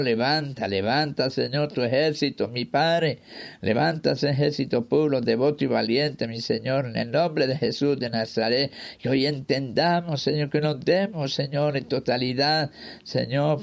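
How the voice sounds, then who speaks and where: A man's voice: medium pitch, creaky. A man leading a fervent spoken prayer, pleading in short repeated invocations. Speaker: prayer leader.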